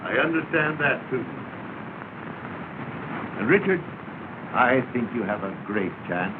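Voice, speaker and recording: An American actor playing a genial, reassuring well-to-do family man in 1930s film style, measured and fatherly. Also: medium pitch, animated. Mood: kindly, encouraging. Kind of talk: early 1930s film acting. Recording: noisy, tinny 1930 film soundtrack.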